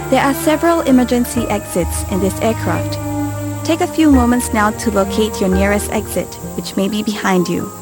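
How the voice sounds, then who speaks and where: A woman giving a scripted safety briefing in a clear, calm instructional way, recorded as a studio voice-over. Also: mid-range voice, moderate pace. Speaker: safety video narrator. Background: music bed.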